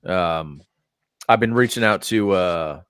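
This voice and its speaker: Male podcast host from Texas, low-ish voice, loose and rambling delivery, dry close sound. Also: takes it slowly, a bit bright, clear, resonant tone, no echo. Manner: rambling, many fillers and restarts. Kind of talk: casual chat about wrestling and gaming.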